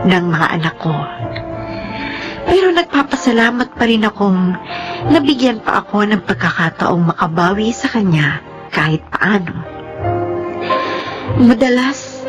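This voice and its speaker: A middle-aged woman from the Philippines, narrating her own drama in the first person in a heartfelt, often tearful way. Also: medium pitch. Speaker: narrator and main character. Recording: background music under the voice.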